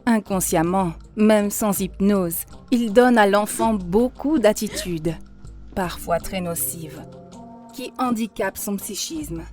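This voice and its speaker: A woman voicing an earnest female mentor in a cartoon, lecturing in a measured, instructive way. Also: mid-range voice, lightly acted. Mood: earnest, didactic. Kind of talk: dubbed cartoon dialogue, didactic monologue. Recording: music behind the voice.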